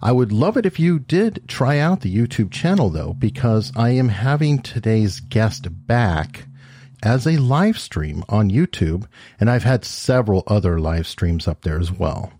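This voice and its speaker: A man's voice: on the low side, sing-song, a little slow, dark tone, slightly rough, no room sound. A man hosting a podcast, introducing his guest and asking questions in an upbeat, enthusiastic way. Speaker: podcast host. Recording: dry close-mic sound.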